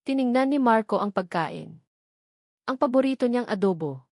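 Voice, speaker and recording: A woman with a Filipino accent narrating a scripted romantic drama in a measured, dramatic way with emphatic short phrases. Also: fairly high pitch, lively intonation, a little mellow, dry recording, studio quality.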